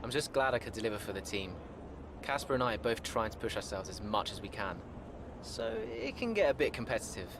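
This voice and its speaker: An actor playing a polite, guarded young racing driver answering interview questions in a video game. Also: male voice, on the low side, big pitch swings, fairly quick, background noise. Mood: modest, grateful. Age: young adult.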